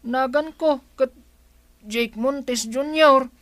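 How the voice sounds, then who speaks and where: Woman playing an earnest, unhurried Filipino schoolboy. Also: high voice, a bit bright, no echo. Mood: eager. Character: earnest schoolboy son, eager and questioning. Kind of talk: radio drama acting.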